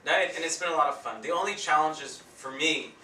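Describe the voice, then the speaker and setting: A man's voice: fairly high pitch, quick pace, a loud crowd. A man, a stage actor, answering interview questions in a joking, rambling way. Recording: echoey room from far off, noisy.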